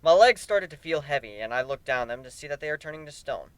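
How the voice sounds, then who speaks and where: A man's voice: fairly high pitch, expressive, quick pace, clean tone, no room sound. A man narrating a first-person story dramatically, as an amateur reader.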